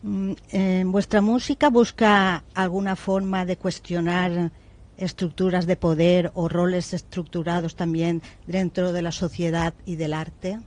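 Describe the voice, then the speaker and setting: A woman, an interviewer asking short, measured questions. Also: lowish voice, little pitch movement, a little mellow.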